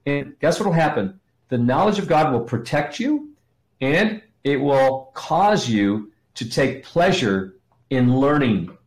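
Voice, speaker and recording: Male guest teacher, middle-aged or older, average pitch, explanatory and emphatic, strongly roomy sound. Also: lively intonation. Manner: explanatory, emphatic pauses, rhetorical questions. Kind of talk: teaching in conversation, quoting scripture.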